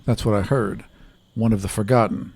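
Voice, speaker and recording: A man's voice: on the low side, fairly even intonation, moderate pace, a little mellow, slightly rough, dry recording. A man playing a curt, suspicious warden with clipped, evasive answers in a tabletop fantasy game. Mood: guarded. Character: curt, suspicious male warden on night patrol.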